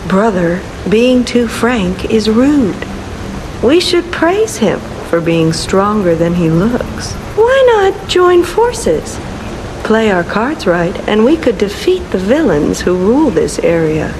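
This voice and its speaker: Playful female game schemer: drawn-out, teasing, very lively intonation, noisy backdrop. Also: mid-range voice. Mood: sly, coaxing. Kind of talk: game character dialogue. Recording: steady rain-like noise behind.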